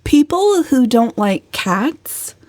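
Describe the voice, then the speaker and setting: Woman voicing a defiant, high-pitched storybook spinster. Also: big pitch swings, slow, a little mellow, dry recording. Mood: composed, bold. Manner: emphatic, outspoken. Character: defiant, indignant young spinster, newly outspoken.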